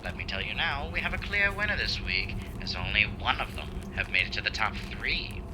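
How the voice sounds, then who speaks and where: A flamboyant demon radio host, played by a man, reads out the news and the charts in showy announcer patter. His voice swoops high and low, full of sudden jumps. A car's rumble hums clearly behind him. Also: animated, fairly quick, close to an everyday voice. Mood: gleeful broadcast. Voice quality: theatrical showman patter with sudden jumps in pitch. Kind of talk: ASMR radio roleplay.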